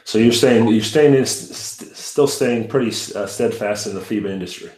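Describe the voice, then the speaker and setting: A male podcast host who is also a high school coach, asking long rambling questions with many restarts. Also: moderate pace, darkish tone, reverberant, low-quality recording. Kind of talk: podcast interview.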